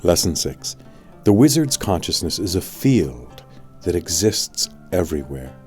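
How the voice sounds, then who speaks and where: A man reading a spiritual audiobook as scripted narration, with measured, deliberate phrasing. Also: sits low, big pitch swings, darkish tone, faint music behind.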